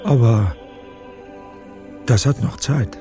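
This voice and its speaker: A man's voice: medium pitch, slow, over music, natural voice. An actor playing an easygoing old man who muses at leisure, in a radio crime comedy. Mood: dreamy, content. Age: older. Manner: leisurely, musing.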